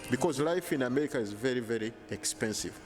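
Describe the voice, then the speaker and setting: Earnest Ugandan man, measured delivery. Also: medium pitch, lively intonation, faint music behind. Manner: earnest, measured, frequent restarts. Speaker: interviewee. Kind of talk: casual interview. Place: bright hotel lounge indoors. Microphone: handheld lapel mic.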